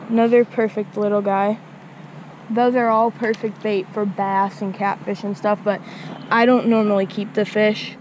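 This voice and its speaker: A woman talks to her viewers while fishing at a small creek, chatty and given to repeating words. Her pitch stays flat and even. The action camera picks up a faint outdoor hiss behind her. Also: normal speed, dull audio. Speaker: fishing vlogger. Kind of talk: casual outdoor vlog narration.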